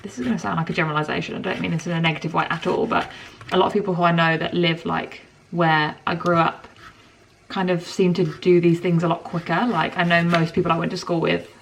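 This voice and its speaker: A young woman in her twenties rambles chattily to camera, her low voice full of vocal fry. She sounds a little distant from the mic in a home kitchen, with a pan loudly sizzling behind her. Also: level tone, quick pace, a little hiss or hum. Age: 20s. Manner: rambling and chatty, lots of "like" fillers. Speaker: vlogger. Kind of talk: casual chat to camera. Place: home kitchen while cooking dinner. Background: frying food sizzling.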